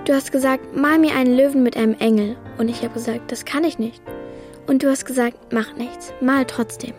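A woman's voice: high-pitched, fairly even intonation, moderate pace, a little mellow, faint music behind, natural voice. A woman playing a tender young child in a radio play, speaking in simple, short, earnest phrases. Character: young child writing a tender letter to Grandma.

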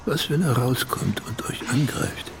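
A man's voice: mid-range voice, a little slow, whispered, lightly acted. An actor playing an intimate, needling inner voice that narrates dramatically to a woman in a radio drama, over music. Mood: warning. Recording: music under the voice.

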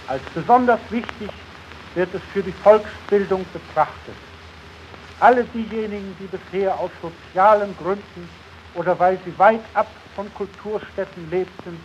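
A man delivering a solemn, declamatory formal address in measured phrases, in 1920s radio style. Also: sits high, highs cut off. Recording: old archive recording with faint hiss.

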